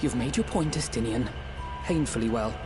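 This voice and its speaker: A man's voice: mid-range voice, normal speed, natural voice. A courteous, earnest young nobleman voiced by a man speaks formally in a light voice. It is video game cutscene acting with music behind. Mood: chastened. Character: polite, earnest teenage noble boy.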